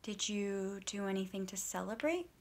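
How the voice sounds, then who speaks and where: A woman's voice: medium pitch, expressive, moderate pace, a little mellow, lightly acted. A woman playing a guarded, sardonic massage therapist with clipped, deflecting replies in a naturalistic audio play. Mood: reserved, awkward. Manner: clipped deflections, sarcastic when riled.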